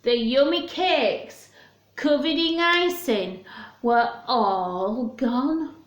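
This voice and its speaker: A woman's voice: high voice, very slow, echoey room. A woman reading a story aloud to young children, speaking simply and expressively to her listeners.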